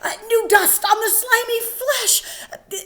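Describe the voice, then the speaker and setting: Scared rambling soldier guy, stammery and jumpy, goes up high, woman reading. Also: lively intonation. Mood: panicky. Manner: nervous, stammering, rushed. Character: jittery rambling male soldier, frightened. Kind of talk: dramatic reading of a play.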